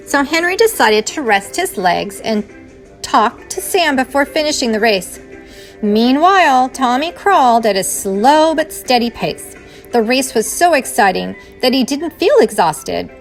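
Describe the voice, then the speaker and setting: A woman reading a children's storybook aloud in the style of a teacher. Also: on the high side.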